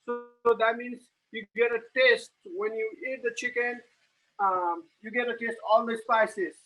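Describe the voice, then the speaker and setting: A man from Nepal with a Nepali accent, giving a live cooking demonstration and narrating each step in halting, plain English. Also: very high voice, lively intonation, pushed and bright, soft background music, rough recording. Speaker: home cook giving a cooking demo.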